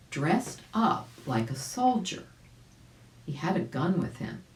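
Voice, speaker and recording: An older woman in her seventies narrates a children's storybook at an unhurried pace. Her voice is low with very lively intonation, recorded in a roomy-sounding room. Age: older, about 70s. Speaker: volunteer storybook reader. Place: small indoor room at home. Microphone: camera a few feet away.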